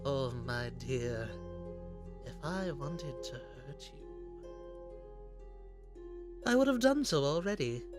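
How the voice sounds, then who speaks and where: A man voicing a sly, courteous, menacing gentleman hunter in a comic dub, speaking in a theatrical, genteel way. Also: medium pitch, expressive, slow pace, pauses often. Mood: persuasive, sly. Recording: background music under voice.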